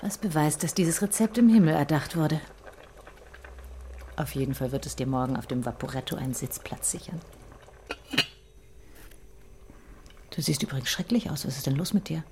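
An actress playing a sharp, caring middle-aged wife in a radio drama, speaking in a dry, probing, intimate way. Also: female voice, deep voice, brisk, a little hiss or hum, natural voice. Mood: wry, teasing. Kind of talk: naturalistic radio drama acting.

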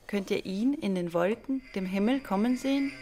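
A woman recites literary text for a radio play in a deliberate, declamatory manner. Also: medium pitch, warm tone. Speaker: reader of an inventor's writings. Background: music.

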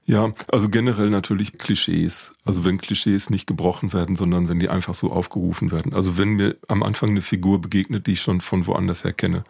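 Low, gravelly male expert. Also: quick pace, hardly any pauses, no echo, studio quality. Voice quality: calm, sonorous. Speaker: literature professor and crime fiction expert interviewed. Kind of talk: explanatory interview talk.

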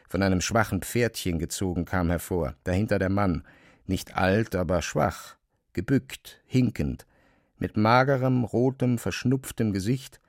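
Low-pitched male narrator, dry sound. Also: no echo. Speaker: narrator of a literary audio drama. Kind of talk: scripted literary narration.

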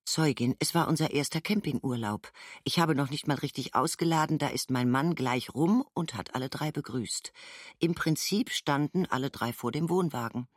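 A woman's voice: low-pitched, normal speed, dry recording, clean studio sound. An actress playing a matter-of-fact mother giving court testimony in a documentary radio play, in a sober, clipped recital. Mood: matter-of-fact recollection. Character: woman trial witness, a mother recalling holiday friends, matter-of-fact.

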